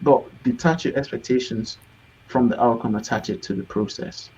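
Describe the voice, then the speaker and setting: A man guesting in a casual interview, relaxed and reflective with self-deprecating humor. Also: medium pitch, normal speed, slightly rough, dull audio. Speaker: business coach guest.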